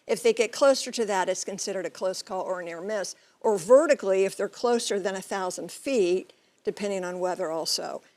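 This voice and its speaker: A woman reporting as a TV news correspondent, explaining things in measured, clear phrases. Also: medium pitch, a little mellow. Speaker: TV transportation correspondent and pilot.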